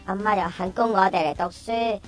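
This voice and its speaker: A woman's voice: medium pitch, fairly quick, faint music behind, close to an everyday voice. A woman playing a talkative, well-meaning young schoolchild in a radio drama. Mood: admiring. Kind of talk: childlike radio drama acting.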